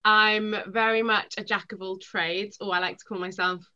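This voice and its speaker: A woman's voice: medium pitch, fairly even intonation, normal speed, bright, forceful tone, smooth, dull audio. A woman from Wales chatting openly and casually as a podcast guest. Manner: chatty, open. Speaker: podcast guest, writer and actor. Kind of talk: candid, casual interview chat.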